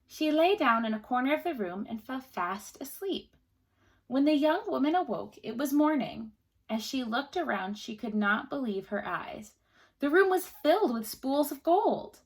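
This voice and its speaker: A woman teacher reading a storybook aloud to children, pausing to explain things simply and ask questions. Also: a little high, breathy edge.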